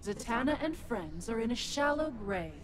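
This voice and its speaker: A woman voicing a menacing enchantress, a monstrous dark spirit who taunts slowly in a fighting game. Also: on the high side, big pitch swings, background noise. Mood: cold, threatening.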